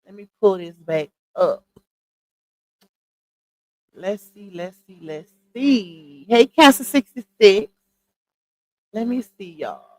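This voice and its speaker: A woman hosting a casual livestream, chatting with viewers, greeting them by name and calling them y'all, her voice starting to go hoarse. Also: mid-range voice, expressive, slow, pauses often, dry recording, poor audio. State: voice going hoarse. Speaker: livestream host.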